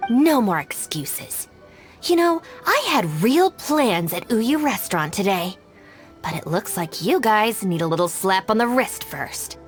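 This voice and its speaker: A woman's voice: medium pitch, sing-song, unhurried, soft background music. An actress playing a stern, exasperated young woman in a video game, with a curt, scolding, no-nonsense manner.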